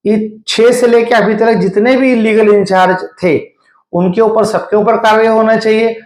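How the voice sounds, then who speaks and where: A high-pitched male voice with a Mumbai Hindi accent, recorded in an echoey room. He speaks as an interviewee, laying out his points step by step in an emphatic, explanatory way. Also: steady tone, moderate pace. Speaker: interviewee commenting on local civic affairs. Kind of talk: spoken news interview, explanatory commentary.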